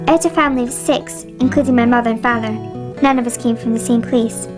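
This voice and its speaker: A woman narrating her own documentary in a calm, reflective way. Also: on the high side, fast talker. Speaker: narrator and filmmaker. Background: music.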